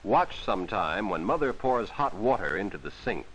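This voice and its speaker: A man's voice: lowish voice, sing-song, normal speed, a bit bright, breathy edge, low-quality recording. A man narrating a vintage 1940s educational film for children, explaining things simply and instructively on an old film soundtrack.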